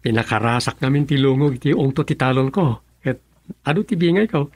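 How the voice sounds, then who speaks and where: A man's voice: mid-range voice, animated, brisk, a little mellow, no room sound, close to an everyday voice. An actor playing a plain-spoken younger male farmer in a Filipino radio drama, with a Filipino accent. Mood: businesslike.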